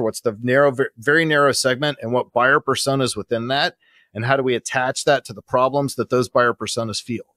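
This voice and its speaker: A man's voice: mid-range voice, fairly bright tone, no echo. Man methodically explaining in an interview, dry sound. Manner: methodical, enumerating points, checking with "right?". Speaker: guest sales consultant.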